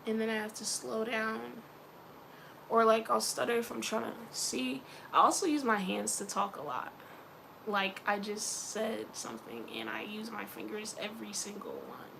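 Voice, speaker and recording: A woman's voice: mid-range voice, normal speed, creaky, background noise. A young woman from Philadelphia with a Philly accent, talking casually and rambling in a personal vlog, often laughing and mentioning her faith. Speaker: vlogger.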